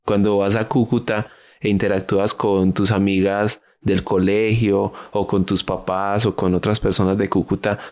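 A man's voice: on the low side, little pitch movement, normal speed, few pauses, darkish tone. A man hosting a podcast conversation, asking curious questions and explaining things to his guest. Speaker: podcast host. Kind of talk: casual interview conversation.

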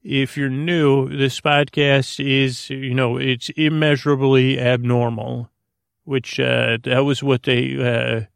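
A man hosting a podcast with a rambling bedtime monologue, halting and digressive, goofy and self-deprecating as he talks listeners toward sleep. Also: mid-range voice, level tone, gravelly, smooth, no room sound, clean studio sound. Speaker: podcast host. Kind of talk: rambling, meandering bedtime monologue to help listeners fall asleep.

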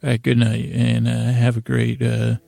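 A man hosting a bedtime podcast in a meandering monologue, mumbling and stumbling with long pauses. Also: medium pitch, completely flat delivery, vocal fry, mellow, no echo, studio quality. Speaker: podcast host.

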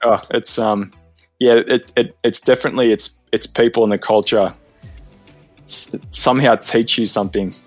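A man, a podcast guest, talking in a relaxed, earnest way with plenty of fillers. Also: fairly low pitch, moderate pace, dry recording, faint music behind. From Australia. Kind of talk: casual interview chat.

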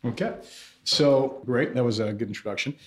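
A hoarse man in his thirties hosting a podcast interview, friendly and joking. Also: medium pitch, moderate pace, slight background noise. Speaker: podcast host.

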